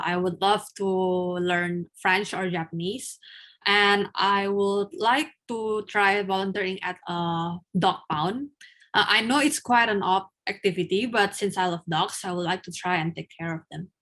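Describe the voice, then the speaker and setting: A woman's voice: medium pitch, a little slow, a bit bright. Young woman, student, mock speaking test, hesitant, many fillers. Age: young adult. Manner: hesitant, frequent filler sounds. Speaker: test candidate, then examiner.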